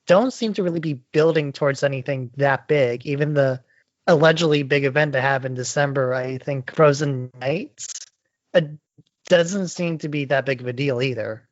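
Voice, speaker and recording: A man's voice: on the high side, normal speed, no echo, lo-fi sound. A man co-hosting a podcast, giving his opinions in a loose, conversational style full of fillers. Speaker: podcast co-host. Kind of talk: casual podcast discussion.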